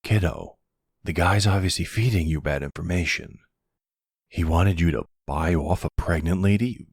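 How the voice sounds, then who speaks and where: Mafia boss, male performer: middle-aged silver fox, husky low register, streetwise and fatherly, firm but protective, roleplay audio drama. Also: darkish tone, no echo, clean studio sound, heavily acted. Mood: protective, serious. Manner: slow, measured, fatherly, streetwise.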